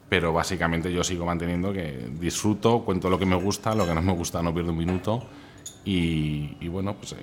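Male interview guest, Spain accent, very low voice. Candid, chatty, colloquial delivery over light noise. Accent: Spanish from Spain. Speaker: interview guest, food recommendation influencer. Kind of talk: casual interview chat, anecdotes.

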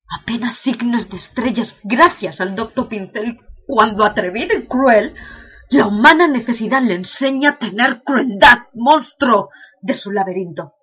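A woman's voice: on the high side, fairly bright tone, breathy edge, muffled recording, full character voice. A woman playing an anguished imprisoned prince in a declamatory, impassioned classical verse monologue, bitterly questioning heaven.

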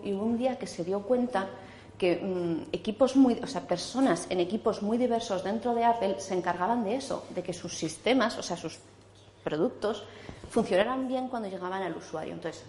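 A woman's voice: mid-range voice, a little mellow, slight background noise. Woman, 40s, Spanish accent, chatty speaker, hall echo. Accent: Spanish from Zaragoza. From Spain. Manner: chatty, many fillers and restarts. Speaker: conference speaker. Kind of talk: casual conference talk. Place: conference hall.

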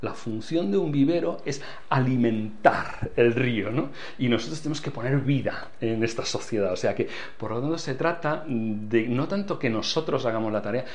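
An older man with a foreign accent, a church leader giving earnest interview answers and repeating key phrases for emphasis. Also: mid-range voice. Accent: foreign accent in Spanish.

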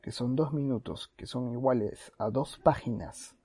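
Mellow male podcaster, close dry sound. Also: mid-range voice, unhurried, husky, no echo. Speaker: podcast co-host. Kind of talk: casual podcast discussion.

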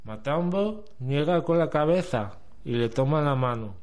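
Narrador de voz algo aguda. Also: male voice, on the high side, expressive, a little slow, a little mellow. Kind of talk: scripted storytelling narration for amateur radio drama. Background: music.

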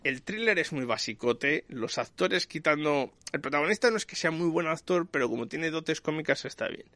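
A man's voice: a little high, animated, moderate pace, pushed and bright, no echo. A man giving a casual, rambling review as a conversational host. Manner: rambling, searching for details. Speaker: series reviewer and podcast host.

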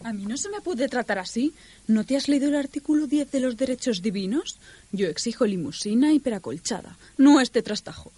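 A woman's voice: on the high side, expressive, fairly quick, mellow, husky. A woman playing a haughty, demanding goddess in a radio drama, complaining imperiously. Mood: indignant, demanding.

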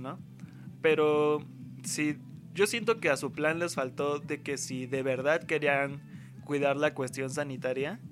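A young adult man with a Mexican accent, a university student talking casually in a rambling, slangy way and acting out what others said. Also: on the high side. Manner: slangy, rambling, acts out quoted speech. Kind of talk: casual conversation.

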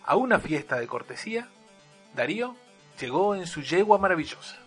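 Male narrator, fairly high voice, dramatic serial delivery over clear music. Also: very animated, moderate pace. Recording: music behind the voice.

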